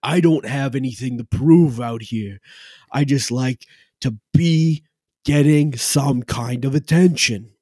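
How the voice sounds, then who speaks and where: A man's voice: mid-range voice, slow pace, darkish tone, dry recording. A man playing a brash, self-important male bartender who wants reality-TV fame, talking in a rambling, boastful, mock-modest way. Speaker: guest. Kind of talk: improvised comedy character interview.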